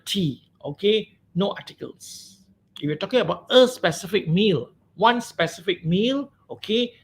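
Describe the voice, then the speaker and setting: A man's voice: sits high, vocal fry. A man with a Malaysian English accent teaching a grammar webinar, stressing and repeating key words and often checking "okay?".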